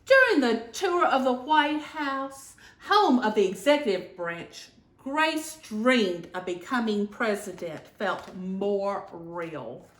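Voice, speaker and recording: A woman reading a children's picture book aloud in an expressive, deliberate storytelling manner. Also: mid-range voice. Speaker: senator and former teacher reading aloud. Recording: echoey room.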